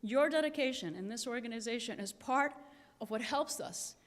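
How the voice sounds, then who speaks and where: Woman speaking in a reverberant hall. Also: fairly high pitch. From Cuba. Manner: earnest, emphatic, slows to stress key phrases. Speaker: keynote speaker. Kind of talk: prepared speech at a conference. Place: large conference hall. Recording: echoey hall with long reverb.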